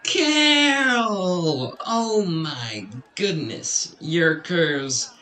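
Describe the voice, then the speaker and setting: A man's voice: high voice, heavily acted. A man playing a flirtatious, easily flustered Christmas elf in a radio drama, with theatrical, exaggerated delivery. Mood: flirtatious, smarmy.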